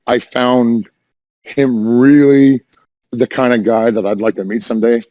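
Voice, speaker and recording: A man with a hoarse, worn voice talks on and on, restarting his sentences and defending himself. His pitch sits in the middle, and the sound is dry and close to the mic. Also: little pitch movement, measured pace, dark tone, no echo. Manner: rambling, defensive, repeats and restarts. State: hoarse, says voice is damaged. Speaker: Discord voice chat caller. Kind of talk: rambling casual chat.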